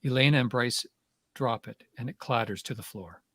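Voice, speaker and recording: A man's voice: mid-range voice, moderate pace, a bit bright, no echo. Man reading stage directions, close and dry. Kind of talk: scripted narration.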